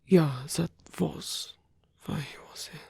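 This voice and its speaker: A performer playing a lonely old male vampire in an ASMR roleplay, tender and wistful, speaking in a breathy, husky whisper with halting delivery. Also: medium pitch, a little mellow, no room sound, clean studio sound, exaggerated voice. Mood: sombre, reassuring.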